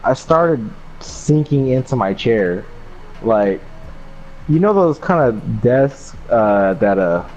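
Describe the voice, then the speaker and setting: A man's voice: medium pitch, big pitch swings, slow, darkish tone. A young American man casually telling an anecdote to a friend in a slangy, sweary, rambling way. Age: young adult. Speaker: storyteller. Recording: dull lo-fi mic, faint background noise.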